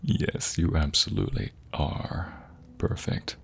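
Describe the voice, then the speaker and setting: A man playing a doting, tender husband in an intimate ASMR roleplay, speaking softly and often slipping into breathy whispers. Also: extremely low pitch, sing-song, takes it slowly, full character voice. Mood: earnest, tender. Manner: soft, breathy, lingering delivery dropping into whispers. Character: doting, tender husband comforting his sweetheart.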